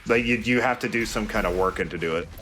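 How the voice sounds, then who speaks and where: A man's voice: lowish voice, fast, background noise. A man giving casual, explanatory game commentary as a let's play host. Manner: explanatory, conversational. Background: game music.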